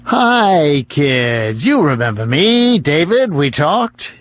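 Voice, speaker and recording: An actor playing a nervous, placating company psychologist in a radio drama, hesitant and stammering, with a husky voice. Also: male voice, on the high side, sing-song, slow, background noise. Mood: uneasy politeness.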